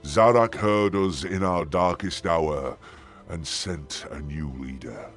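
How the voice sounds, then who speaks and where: An actor playing a weary, grieving old lord in a fantasy video game, with a gravelly, husky voice and slow, imploring delivery. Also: male voice, low voice, a bit bright, soft background music. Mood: grave, despairing. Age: older. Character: weary old male lord grieving his slain son. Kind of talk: dramatic video game acting.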